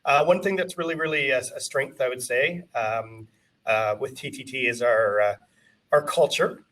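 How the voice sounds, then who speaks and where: A man's voice: medium pitch, moderate pace, a bit bright, distant mic. A man giving a casual conference presentation from his company office, chatty and self-deprecating, with many fillers and restarts.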